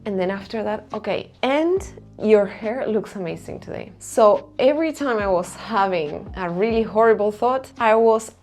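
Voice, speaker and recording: A woman vlogger with an Argentine Spanish accent speaks candidly at a middle pitch, over faint music. She hosts a self-help talk in English as a second language, using short, emphatic phrases. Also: normal speed, few pauses, a little mellow. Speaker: video channel host.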